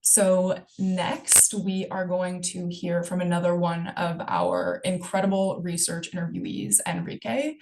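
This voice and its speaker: A woman's voice: fairly low pitch, vocal fry. Woman presenting survey data. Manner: steady, explanatory, data-focused. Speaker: webinar presenter.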